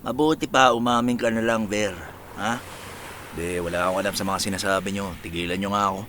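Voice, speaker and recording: A man's voice: on the low side, steady tone, brisk, a bit bright, a little hiss or hum, lightly acted. An actor with a Filipino accent playing a defiant, loyal young man in a radio drama.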